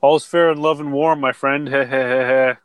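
Man playing a smug charming professor, smooth clear voice, a bit higher than his own, dry close sound, tabletop game. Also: steady tone, moderate pace, no echo, lightly acted.

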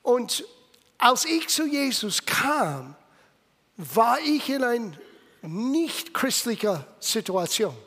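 Older American man, native English speaker, strikingly high voice, emphatic German sermon in a long-reverb hall. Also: sing-song, takes it slowly, husky. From United States. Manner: emphatic, swinging between loud bursts and hushed lines; German with non-native grammar and English slips. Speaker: preacher.

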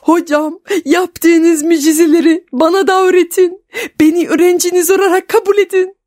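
Woman doing a high, eager young pupil. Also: steady tone, normal speed, darkish tone, dry recording, studio quality. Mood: pleading. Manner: eager, imploring.

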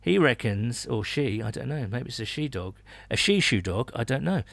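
A man's voice: mid-range voice, very animated, normal speed, vocal fry, a bit bright, dry recording. A British man hosting a sports podcast, chatting casually with rambling asides and reading out listener messages. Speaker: podcast host.

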